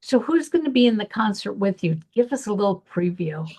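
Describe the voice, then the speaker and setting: A woman with a gravelly voice hosting a podcast, telling a personal story in a reflective way. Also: mid-range voice, sing-song, fairly quick, darkish tone. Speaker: podcast host. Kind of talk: personal conversation.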